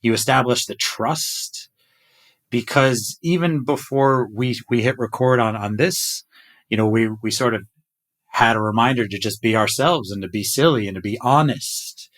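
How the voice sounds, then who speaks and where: A man's voice: medium pitch, moderate pace, a bit bright. A middle-aged man hosting a podcast, talking in an earnest, reflective way. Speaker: podcast host. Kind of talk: casual podcast chat.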